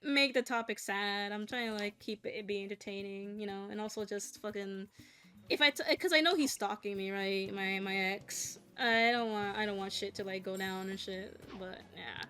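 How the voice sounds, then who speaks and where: Bright-voiced young woman, blunt and profane. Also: medium pitch, normal speed, faint music behind. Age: 20s. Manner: frank and profane, venting with nervous laughs. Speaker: content creator. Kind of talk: casual candid talk while gaming.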